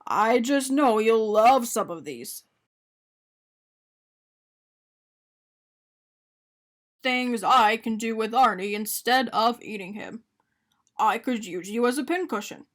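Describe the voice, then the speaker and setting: A woman's voice: fairly high pitch, measured pace, lightly acted. A woman voicing a fussy, polite and kindly man in a picture-book story, speaking in a matter-of-fact way. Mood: hopeful. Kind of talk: animated picture-book character reading.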